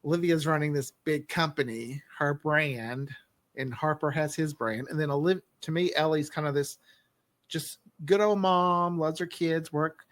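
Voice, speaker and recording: A man's voice: fairly high pitch, unhurried, fairly bright tone, dry recording. A man who writes for an audio drama, chatting casually in a rambling, talkative way in a group discussion. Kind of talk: casual behind-the-scenes group chat.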